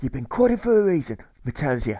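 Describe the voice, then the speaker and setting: A man voicing a gruff, volatile old sailor turned jailer in an audiobook, with a stage pirate accent and blustering, exclamatory delivery. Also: fairly high pitch, sing-song, fast, mellow, no room sound, voice pushed up. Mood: menacing.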